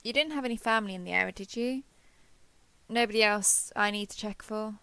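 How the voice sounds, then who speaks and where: A woman plays a giant alien robot soldier from an audio drama, caring and slightly awkward. The voice is bright and forward with some creak, recorded close and dry. The delivery is gentle and reassuring. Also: medium pitch, brisk, no room sound. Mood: friendly, earnest. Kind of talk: scripted roleplay audio drama addressed to listener.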